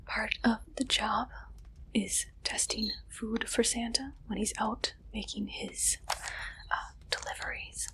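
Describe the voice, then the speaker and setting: A woman speaking in a soft, breathy whisper, playing an interviewer in an ASMR roleplay with slow, deliberate, deadpan delivery. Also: on the high side, rough recording.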